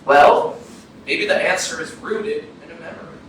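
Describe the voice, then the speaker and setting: Man playing a troubled young man, high-pitched, echoey. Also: steady tone, a bit bright, natural voice. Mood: reflective. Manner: hesitant, halting. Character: troubled, guilt-ridden young man, earnest and searching. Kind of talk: staged play reading. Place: arts center stage. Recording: very echoey hall, distant, faint noise.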